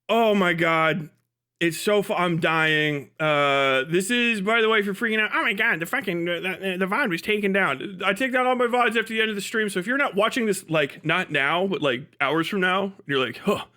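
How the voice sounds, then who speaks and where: A male streamer with a high, bright, forceful voice. He talks casually to his viewers in an animated, mocking way and peppers his rambling with profanity. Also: moderate pace. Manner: animated, mocking, swears freely. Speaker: live streamer. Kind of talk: casual livestream chat with profane ranting.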